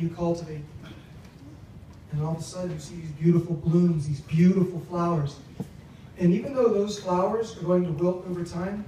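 A young man giving a humorous, teasing wedding toast as best man, telling stories haltingly into a handheld microphone in a tent. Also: a little high, mellow. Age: young adult. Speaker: best man, brother of the groom. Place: large wedding reception tent. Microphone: handheld microphone over speakers, filmed from a few metres away. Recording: echoey, distant, noisy event recording.

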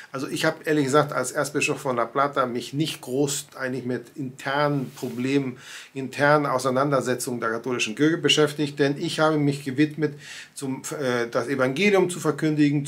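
A man in his sixties, a native Spanish speaker, giving conversational commentary to camera from his home study, with frequent fillers and restarts. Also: mid-range voice. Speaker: commentator on church affairs. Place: home study with bookshelves and pictures.

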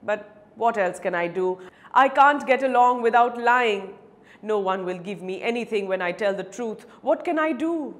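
A woman's voice: on the high side, animated, lightly acted. Woman voicing a plaintive, pleading ragged beggar man. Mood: resigned, humble. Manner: plaintive, halting when challenged. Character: ragged, pleading male drunkard beggar, evasive and humble. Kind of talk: dramatic short story reading.

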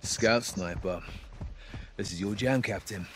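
Deep, laconic special forces soldier. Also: male voice, measured pace, background noise. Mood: deadpan, dry. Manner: terse, deadpan. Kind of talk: video game military dialogue, short callouts.

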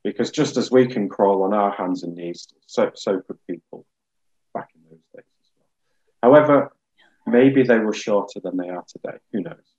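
A man in his thirties explaining science informally and in a measured way, as a guest geologist on a webinar. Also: on the low side, darkish tone, lo-fi sound. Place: small plain room indoors. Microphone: video call.

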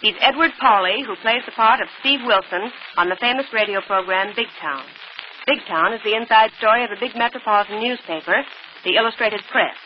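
Actress as polished vintage radio assistant, muffled recording. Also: female voice, a little high, fairly quick, close to an everyday voice. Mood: polished, introducing. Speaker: co-host introducing guests. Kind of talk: scripted 1940s radio presenting. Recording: dull old radio broadcast, hiss.

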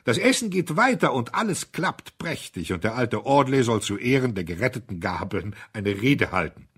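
A man's voice: medium pitch, very animated, normal speed, a bit bright, slightly rough. A man voicing an eager, jovial young aristocrat in a breathless, exuberant manner for an audiobook. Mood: excited, jovial.

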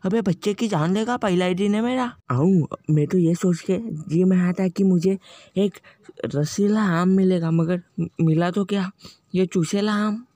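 A man's voice: sits high, a little slow, warm tone, no echo. A man voicing a boastful, lovesick young male slacker in a cartoon parody dub, chanting in a sing-song way. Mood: indignant.

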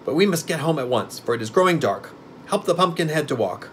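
A man's voice: a little high, brisk, slight background noise, pitched above the performer's own voice. A man voicing a gleeful, scheming old witch woman for a storybook reading. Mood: brisk, thoughtful. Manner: shrieking and cackly when excited, scolding.

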